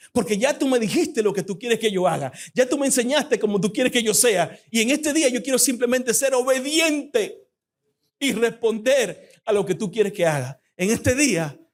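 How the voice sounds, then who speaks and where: Young Dominican man, high-pitched, fervent fast-talking guest preacher making an appeal in church. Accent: Dominican Spanish. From Dominican Republic.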